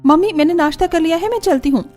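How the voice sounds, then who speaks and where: A woman voicing a bubbly, fussy young college woman in a cartoon story, expressive and playfully whiny. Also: extremely high pitch, fast talker. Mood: pleading.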